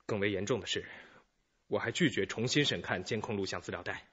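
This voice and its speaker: A man's voice: lowish voice, fairly quick, a bit bright, husky, muffled recording. An actor playing an earnest, conscientious male junior police detective in a radio drama. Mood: contrite, earnest.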